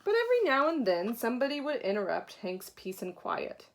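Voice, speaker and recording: A woman reading a children's picture book aloud with dramatic pauses, acting out the story. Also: fairly high pitch, wildly swooping pitch. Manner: deliberate dramatic pauses, acts out characters. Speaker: storybook reader, teacher.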